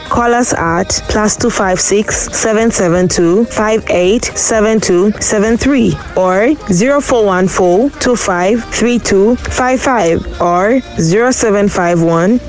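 A woman's voice: medium pitch, darkish tone, poor audio. A woman reading a scripted promotional introduction as an announcer. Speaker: sponsor announcer.

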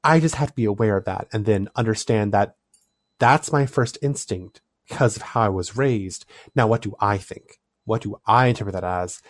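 A man in casual discussion, explaining his ideas in a thoughtful, animated way. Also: lowish voice, normal speed, no echo.

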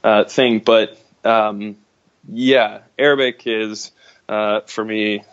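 Halting man on a muffled line. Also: on the low side, steady tone, a bit bright, smooth, dry recording. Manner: halting, many fillers and restarts, laughs while talking. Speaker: guest. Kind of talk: casual conversation. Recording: dull lo-fi sound.

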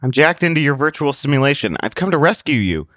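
An earnest young male hero, acted by a man reading an audiobook. He sounds persuasive and pleading, with a voice pitched a little above a plain speaking voice. The sound is close and dry. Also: sing-song, fast, fairly bright tone, no echo.

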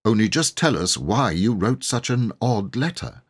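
Man playing a gentle, forgiving country vicar. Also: lowish voice, big pitch swings, normal speed, slightly rough, pitched above the performer's own voice. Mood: kindly.

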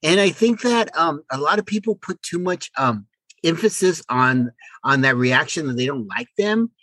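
Man, fairly high voice, very lively swooping intonation, self-assured podcast co-host, emphatic repetition, informal chat. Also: moderate pace, no echo. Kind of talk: casual conversational chat.

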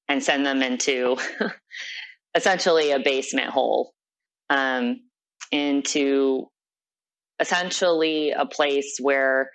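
A young adult woman being interviewed, telling a personal story in an earnest, reflective way. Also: a bass voice. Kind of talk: personal account in conversation.

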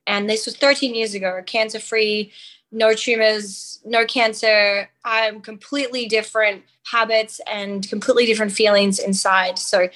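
A woman from the outback, a guest in a podcast interview, telling her story in lively conversation. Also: mid-range voice, moderate pace. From Australia. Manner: animated storytelling with light laughs. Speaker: guest nutritionist.

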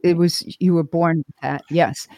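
Measured, low-voiced woman interviewer. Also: steady tone, warm tone, no room sound. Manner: measured, restates and clarifies answers. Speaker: podcast host, interviewer. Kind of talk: interviewing, explanatory questions.

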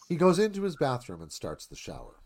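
A man's voice: on the high side, huge pitch swings, quick pace, darkish tone. A man narrating scene directions in a live screenplay reading.

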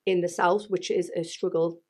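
A woman's voice: on the low side, little pitch movement, moderate pace, darkish tone. A woman in her thirties from North East England with a Geordie accent, co-hosting a podcast in frank, chatty talk that drops in casual swearing. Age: 30s. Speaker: podcast co-host. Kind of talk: casual chat.

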